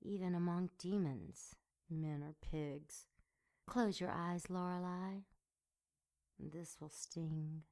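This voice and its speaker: A woman playing a sardonic, seductive succubus demon in an audio drama, sultry and mocking in a deliberate way. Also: fairly low pitch, fairly even intonation, dark tone. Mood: soothing seduction.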